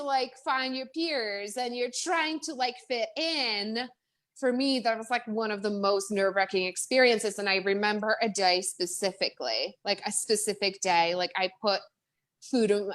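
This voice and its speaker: A woman with a Czech accent, a guest speaker in a live-streamed interview, talking in a chatty, animated way with many restarts and fillers. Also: mid-range voice. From Czech Republic.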